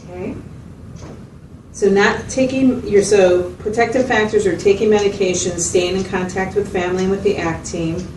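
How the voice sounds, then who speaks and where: A woman's voice: mid-range voice, fairly even intonation, normal speed, lightly acted. A woman playing a patient mental health facilitator in a role-played client interview, asking checking questions and summing up with hesitant phrasing. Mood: calm, methodical. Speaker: clinician facilitator. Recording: roomy, distant mic, loud background noise.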